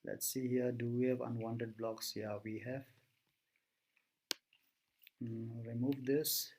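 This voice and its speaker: A man presenting an informal software tutorial, thinking aloud step by step as he works. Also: medium pitch, level tone, takes it slowly, noisy background.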